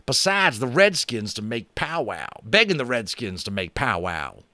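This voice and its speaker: A man voicing a brash, boastful old-time cowboy with a slow, high drawl. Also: sing-song, sharp tone, no room sound.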